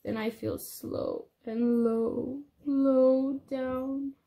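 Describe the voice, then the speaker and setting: A young woman reading a picture book aloud to small children, expressive and sing-song, explaining things simply as a teacher would. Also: high voice, drawn-out words, creaky. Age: young adult. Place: small indoor room.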